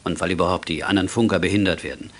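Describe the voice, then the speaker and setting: A man's voice: low-pitched, steady tone, very fast, slightly rough. An actor playing an easygoing middle-aged father in a children's radio play, genial, avuncular and instructive.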